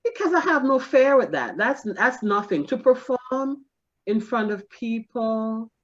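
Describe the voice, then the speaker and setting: A middle-aged woman, a stand-up comedian telling stories in a casual interview, animated and quick to laugh. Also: fairly high pitch. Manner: animated, laughs often, self-deprecating. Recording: dull lo-fi recording.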